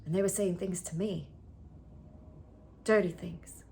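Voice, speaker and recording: A woman playing a traumatised, lonely young woman in a theatre monologue, halting and intense, often slipping into a breathy hush. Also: lowish voice, steady tone, a little hiss or hum. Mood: uneasy, hurt.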